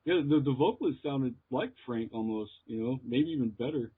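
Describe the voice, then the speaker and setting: An American man, heard through a thin, phone-like connection, talks in a casual interview. He speaks in a halting, hesitant way, feeling his way through each answer. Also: medium pitch, slightly rough. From Texas, United States. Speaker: interviewed metal musician. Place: remote call. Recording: muffled, tinny phone line.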